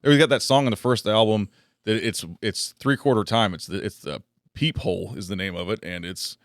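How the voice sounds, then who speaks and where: A man in his thirties with a lowish voice chatting as a podcast guest, laid-back and rambling, with easy swearing. The sound is dry and close, with no room echo. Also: a little fast. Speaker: guest comedian. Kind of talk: casual chat.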